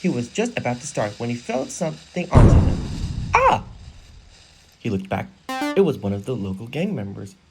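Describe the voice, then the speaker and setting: A man's voice: mid-range voice, very animated, brisk, rough recording. A man narrating a scripted children's story in storybook style. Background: music.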